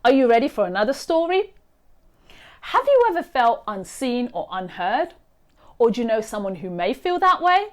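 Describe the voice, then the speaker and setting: A woman's voice: fairly high pitch, big pitch swings, normal speed. A woman reading a children's storybook aloud expressively, speaking directly to her young listeners as host.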